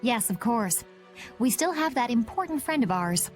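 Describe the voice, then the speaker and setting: A woman's voice: fairly high pitch, lively intonation, normal speed, music in the background, lightly acted. A woman voicing a composed, confident, slightly teasing young woman in a video game. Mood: assured.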